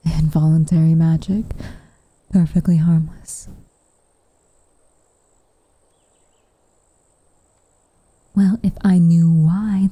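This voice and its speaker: A woman voicing a guarded, secretly tender tsundere witch in soft, often whispered ASMR roleplay, with coy, hesitant, wryly formal phrasing. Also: fairly low pitch, husky, dry recording. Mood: dry, deflecting.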